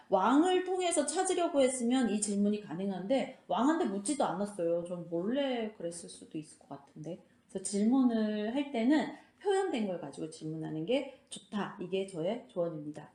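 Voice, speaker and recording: A woman's voice: medium pitch, mellow. A woman hosting a book club lesson, explaining and commenting on questions in a conversational way. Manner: conversational, acts out imagined reactions in quotes. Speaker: host of a fairy tale book club channel. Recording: roomy, echoing indoor sound.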